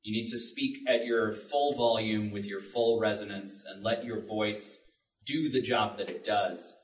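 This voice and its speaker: A man's voice: fairly low pitch, steady tone, measured pace. A man on a panel explaining things informally in plain words. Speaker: panelist, audio drama maker. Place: public library panel room. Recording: echoey room, distant mic.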